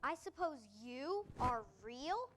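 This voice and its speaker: A woman's voice: high voice, animated, takes it slowly, a bit bright, slight background noise, heavily acted. A woman playing a naive, curious little female toy rabbit in a storybook reading, tentative and questioning. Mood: curious, timid. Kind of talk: readers' theater performance of a storybook.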